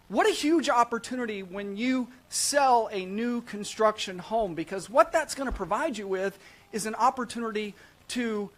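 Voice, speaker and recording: A man presents a live studio talk show as its host. He speaks in an upbeat, sales-pitch manner, mixing casual banter with explanation and punctuating his points with short check-in questions. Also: fairly bright tone. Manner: upbeat salesman-like, repeated "right?" tags. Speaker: host of a real estate show, realtor. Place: small studio set at a desk.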